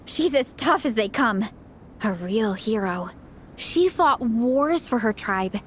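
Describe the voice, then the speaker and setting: A woman voicing a sweet, supportive young athlete in a video game, gentle, sincere and encouraging. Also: a little high, expressive, slow pace, a little hiss or hum. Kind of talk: scripted video game voice acting.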